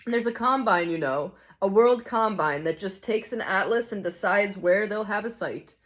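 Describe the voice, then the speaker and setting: A man's voice: high voice, moderate pace, a bit bright, pitched above the performer's own voice. A woman reading the part of a cynical, hard-bitten male ghost in a storybook, grumbling scornfully and insistently. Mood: jaded, dismissive. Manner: scornful, insistent complaining with rhetorical questions.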